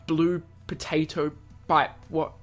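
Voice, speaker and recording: A man's voice: on the high side, little pitch movement, slow pace. A man reads a visual novel aloud as a gaming host, over game music. He trips over words now and then and breaks off from the script into his own asides. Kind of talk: let's play, reading visual novel aloud with reactions. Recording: music behind the voice.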